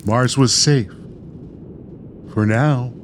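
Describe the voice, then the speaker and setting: A man's voice: medium pitch, extremely slow, a little hiss or hum. A man narrating a scripted comedy radio play, reading scene headings and story as narrator.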